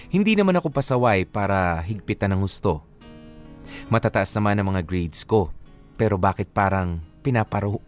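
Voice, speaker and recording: A man's voice: fairly low pitch, darkish tone, close to an everyday voice. A man narrating a first-person letter in a radio drama, reflective and earnest as he recalls youth. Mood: wistful, frustrated. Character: letter writer recalling youth under a strict older sister.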